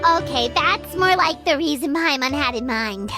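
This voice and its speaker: A woman voicing a tiny, childlike, excitable fairy companion in a video game, with a squeaky voice. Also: normal speed. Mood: agreeable. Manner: refers to self in third person, exclaiming. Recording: music playing behind the voice.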